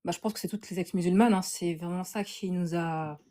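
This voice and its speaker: A woman's voice: on the low side, fairly even intonation, brisk, mellow. A woman, a guest in a casual chat, speaking indignantly and with some hesitation.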